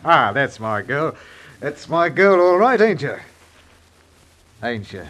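Cocky, high-voiced London bobby. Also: male voice, sing-song, takes it slowly, fairly bright tone, heavily acted. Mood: flirtatious. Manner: swaggering, patronising, familiar. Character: cocky, flirtatious male London police officer. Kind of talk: old-time radio drama acting.

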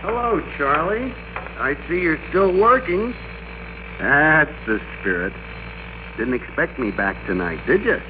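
An actor playing a meek middle-aged American office worker turned blustering, bossy master, in emphatic classic radio drama style. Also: male voice, a little high, animated, measured pace. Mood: gloating, threatening. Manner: emphatic, theatrical, commanding. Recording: muffled classic radio recording with hum.

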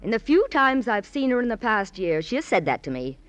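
An actress playing a genteel, self-absorbed middle-aged society woman in a radio drama, with mannered, deliberate phrasing. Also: fairly high pitch, very animated, dry recording. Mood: wistful, bitter. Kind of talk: old-time radio drama acting.

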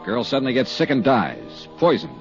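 A man's voice: medium pitch, wildly swooping pitch, normal speed. A man playing a wry, hard-boiled insurance investigator in a 1950s radio drama, with a clipped, punchy delivery. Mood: wry, brisk. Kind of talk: 1950s radio detective drama, first-person narration. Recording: muffled 1950s radio recording with music.

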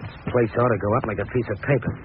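An actor playing a bossy, callous gang boss, barking impatient orders in a 1940s radio drama. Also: male voice, fairly low pitch, steady tone, fast talker, noisy background, close to an everyday voice. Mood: callous, brusque. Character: bossy, callous male crook running a gang. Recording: tinny old radio recording, music behind.

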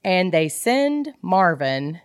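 A woman co-hosting a podcast, chatting casually and in an animated, geeky way about a book. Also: fairly low pitch, slow, clean tone, no room sound, clean studio sound. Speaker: podcast co-host. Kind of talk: casual book club chat, reading out quotes.

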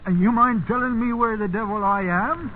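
An actor playing a bewildered, indignant old English farmer in a radio drama, muttering and plain-spoken. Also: male voice, high voice, normal speed, natural voice. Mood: confused, indignant. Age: older. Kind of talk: 1950s radio acting. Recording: narrow, hissy 1950s radio broadcast.

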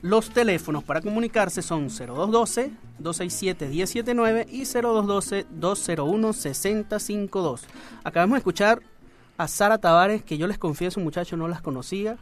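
A man's voice: high-pitched, normal speed. A man from Mérida with a Venezuelan Andean accent, hosting a music radio show in an upbeat, welcoming, casual way. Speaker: radio host.

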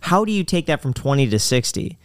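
A man's voice: mid-range voice, very animated, fairly quick, smooth, no echo. A man co-hosting a casual interview show, putting direct, business-minded questions. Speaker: podcast co-host.

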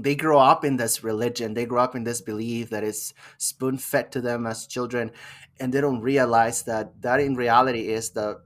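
Male host, mid-range voice, easygoing and agreeable, quick to affirm. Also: mid-range voice. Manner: agreeable, conversational, frequent affirming interjections. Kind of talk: casual interview.

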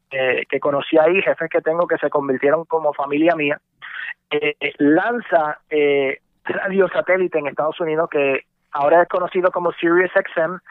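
A man from New York raised in Rico, talking in a relaxed interview about his radio career with many fillers. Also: on the high side, no echo. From born in New York, raised between Puerto Rico and the US. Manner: relaxed, many fillers, mixes English words. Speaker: radio host guest. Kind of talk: casual interview, recounting career. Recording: tinny phone line, dry.

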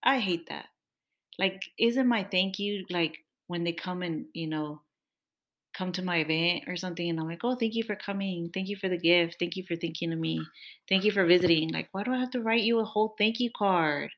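A woman's voice: on the low side, brisk. Chatty, laughing young woman. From Mexican-American family. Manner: chatty, laughs often, playful complaining. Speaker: podcast co-host. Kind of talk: casual chat with partner.

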